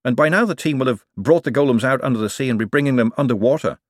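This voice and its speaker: A man voicing a brisk, stubborn, self-assured woman who explains things insistently in an audiobook story. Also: medium pitch, no room sound, clean studio sound, pitched above the performer's own voice, natural voice. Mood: careful, defensive.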